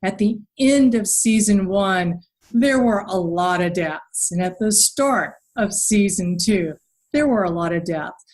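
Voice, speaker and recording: Female podcast interviewer, mid-range pitch, conversational delivery. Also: animated, measured pace, darkish tone.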